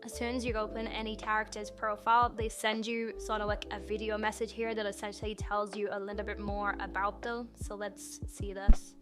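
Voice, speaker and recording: A woman's voice: mid-range voice, little pitch movement, fairly quick, hardly any pauses, over music. A woman reviewing a website in a casual screen-recorded walkthrough, chatty and thinking aloud as she clicks through menus.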